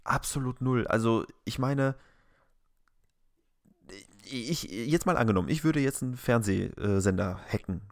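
A man co-hosting a casual podcast, talking in a rambling, halting and incredulous way. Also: mid-range voice, creaky, dry recording, studio quality. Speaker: podcast co-host.

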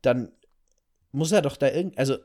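A man's voice: mid-range voice, normal speed, a little mellow. A man co-hosting a casual podcast, speaking in an emphatic way and repeating himself for stress. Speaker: podcast co-host.

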